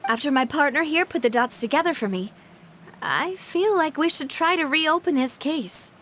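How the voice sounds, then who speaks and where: A woman's voice: high-pitched, expressive, moderate pace, fairly bright tone, slight background noise. An actress playing a determined, kind young female boss, composed and articulate, in a video game cutscene. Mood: composed, serious.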